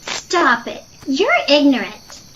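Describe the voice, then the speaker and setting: Woman acting a high-voiced scolding sister, slightly muffled. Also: big pitch swings, slow pace, a bit bright, exaggerated voice. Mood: scared, annoyed. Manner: chiding. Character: earnest, easily spooked young sister, scolding and exasperated. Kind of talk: audio drama acting. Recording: dull lo-fi audio, faint noise.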